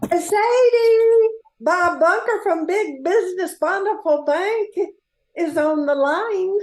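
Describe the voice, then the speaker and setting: A woman playing a dutiful airline receptionist in a radio play, with a deliberate, singsong delivery. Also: very high voice, animated, a little mellow, echoey room, heavily acted, poor audio. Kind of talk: old-time radio play acting.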